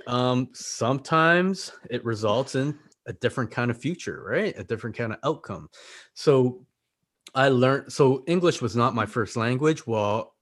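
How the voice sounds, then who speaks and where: A man's voice: mid-range voice, a bit bright. A man in his forties, whose was Korean, speaks as a podcast guest. His voice sits in an ordinary middle register, and he talks in a reflective, earnest way, often tagging his points with a questioning "right?". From Canada. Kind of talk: casual conversation.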